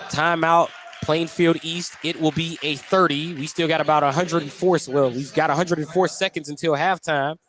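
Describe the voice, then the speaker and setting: Man, American accent, fairly high pitch, live basketball radio play-by-play, noisy gym background. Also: fairly bright tone. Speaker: play-by-play sports announcer. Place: indoor basketball gym during a game.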